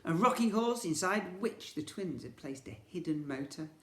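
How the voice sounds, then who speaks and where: Male storyteller for young children, expressive and theatrical, recorded in a roomy, echoing space. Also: sits high, normal speed. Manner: theatrical, expressive, with emphatic sound words. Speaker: teacher reading a story to children. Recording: echoey room.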